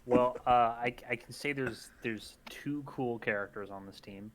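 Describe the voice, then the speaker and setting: A man's voice: medium pitch, unhurried, a little hiss or hum. A man taking part in a casual podcast chat. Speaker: podcast participant.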